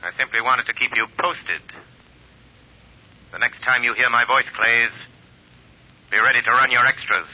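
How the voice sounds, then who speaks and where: A man playing a mysterious unseen crime-fighter, smoothly taunting and menacing, in old radio drama style. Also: mid-range voice, moderate pace, long pauses, close to an everyday voice. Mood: mocking menace. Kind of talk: 1930s radio drama acting. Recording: muffled old radio recording.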